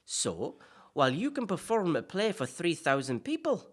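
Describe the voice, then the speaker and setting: A woman's voice: fairly low pitch, big pitch swings, normal speed. A woman playing a chatty, cheeky male celebrity actor hosting a podcast in an audio play, hesitant at times and bluntly teasing. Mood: hesitant, defensive. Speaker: podcast host. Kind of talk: audio play dialogue in interview form.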